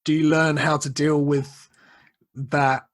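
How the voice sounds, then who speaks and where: A man hosting a podcast, asking curious follow-up questions in a relaxed conversational way. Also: fairly high pitch, slow. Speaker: podcast host. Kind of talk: casual interview questions.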